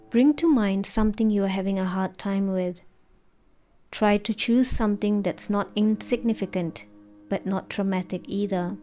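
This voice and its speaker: A woman narrating a guided meditation in a gentle, soothing, unhurried manner. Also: mid-range voice. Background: background music.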